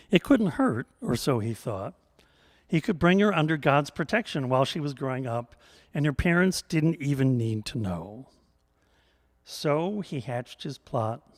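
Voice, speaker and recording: A man telling a scripted story as a storyteller, in a measured, gently humorous way. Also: medium pitch, no room sound, clean studio sound.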